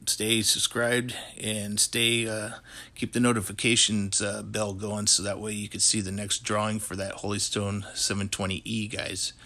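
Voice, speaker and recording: A hoarse man in his forties chats to his camera in a low, flat voice. He rambles along with plenty of fillers, from an indoor hobby room, his voice worn down. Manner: relaxed, rambling, many fillers. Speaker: vlogger. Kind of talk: casual chat to camera.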